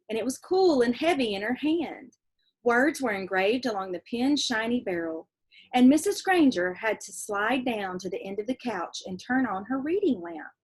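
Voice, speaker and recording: Expressive woman reading aloud, slightly husky. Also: on the high side. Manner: expressive, explanatory, checking in with listeners. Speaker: teacher reading a book aloud to pupils. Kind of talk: read-aloud storytelling with asides to children.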